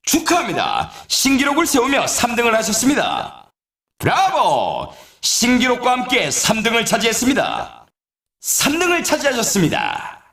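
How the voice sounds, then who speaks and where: An actor playing an excitable male snowboard race announcer in a video game, with formal, polite phrasing and showy flair. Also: very high-pitched, normal speed, creaky, echoey room, exaggerated voice. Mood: celebratory.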